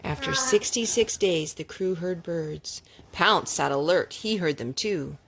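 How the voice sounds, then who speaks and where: A woman's voice: on the low side, lively intonation, unhurried, fairly bright tone. A woman reading a children's storybook aloud expressively, with casual asides to children. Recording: clearly noisy home recording with clicks.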